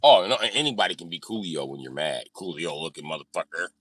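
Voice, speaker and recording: A man's voice: sits low, expressive, fast talker, a bit bright, slightly rough, no room sound, studio quality. A man chatting casually on a livestream in a brash, joking, slangy way with lots of swearing. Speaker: livestream host reading and answering comments. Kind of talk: casual profane livestream chat.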